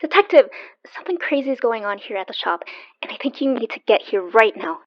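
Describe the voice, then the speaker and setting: A woman playing an eager, plucky young woman in an audio drama, chatty and a little halting. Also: on the high side, sing-song, no room sound, highs cut off. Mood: urgent, nervous. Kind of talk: naturalistic audio drama acting.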